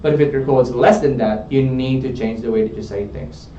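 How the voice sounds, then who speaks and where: A man's voice: mid-range voice, fairly quick, warm tone, a little hiss or hum. A man working as an English tutor, coaching a student in a studio and often checking in with "okay?". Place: studio room. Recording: roomy, distant, dull studio sound.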